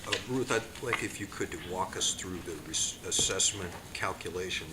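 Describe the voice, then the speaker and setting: A man chairing a budget subcommittee meeting, speaking haltingly with many fillers and restarts. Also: deep voice. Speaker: committee chair. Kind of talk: public meeting discussion. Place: meeting room. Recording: echoey room, loud noise.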